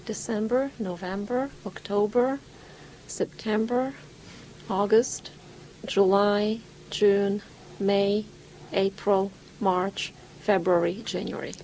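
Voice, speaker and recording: Creaky woman, noisy recording. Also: mid-range voice, steady tone, takes it slowly, a little mellow. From Bulgaria. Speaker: patient in an exam demonstration. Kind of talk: short answers to test questions.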